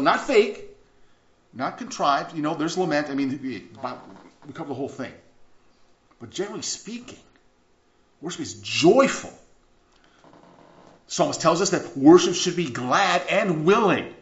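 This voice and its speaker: A male pastor delivers a loose, conversational sermon. His voice rises and falls in a lively way and he often stumbles and starts over. The recording is a bit dull and carries the roomy echo of a church. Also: on the high side, a little slow, pauses often. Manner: animated, chatty, with stumbles and restarts. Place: church sanctuary. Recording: echoey church room, slightly dull sound.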